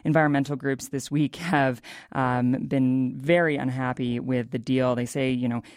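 A woman's voice: extremely low pitch, steady tone, normal speed, darkish tone, dry recording, studio quality. A woman with an American accent speaking as a radio reporter, explaining the news off the cuff in an interview.

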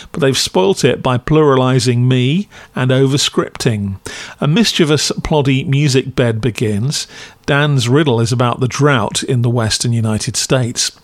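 A man's voice: mid-range voice, no room sound. A man narrating a scripted review as a podcast host, with a wry, critical, dry delivery.

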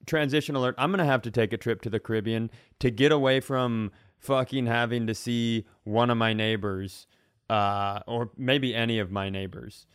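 A man's voice: medium pitch, fairly even intonation, normal speed, smooth. A middle-aged man co-hosting a comedy podcast, bantering and telling stories casually in a mock-earnest way. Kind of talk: casual comic banter and storytelling.

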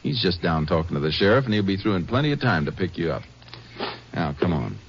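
A man's voice: sits low, sing-song, fast talker. An actor playing a seasoned, fatherly but firm Texas Ranger, plain-spoken in the manner of a 1950s radio western. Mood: kindly. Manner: folksy, firm, questioning. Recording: muffled, noisy 1950s radio recording.

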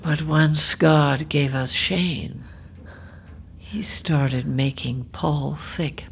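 An actress playing a middle-aged, bitter, quietly menacing farm widow who grieves her son, speaking in a deliberate hush in a naturalistic audio drama. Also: sits low, fairly even intonation, vocal fry, slight background noise, full character voice. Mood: bitter grief. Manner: slow, deliberate, hushed.

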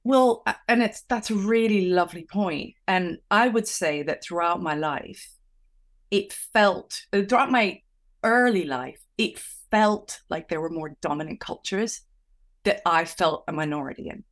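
A woman's voice: on the low side, unhurried. A woman coach and author, born in Venezuela, giving reflective interview answers with frequent restarts. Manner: reflective, with many restarts and fillers.

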